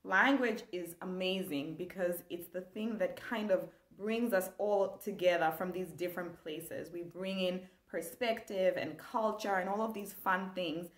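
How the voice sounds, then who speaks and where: A woman's voice: lowish voice, echoey room. A young woman from Zambia, teaching an online language lesson with enthusiasm, gesturing as she talks. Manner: animated, gestures a lot, enthusiastic. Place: small room indoors.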